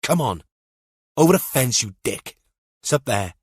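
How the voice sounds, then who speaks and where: A man's voice: medium pitch, sing-song, measured pace, husky, dry recording, studio quality, pitched above the performer's own voice. A man voicing a cocky, foul-mouthed, impatient teenage boy in a thriller audiobook, with a whining, goading manner. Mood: annoyed.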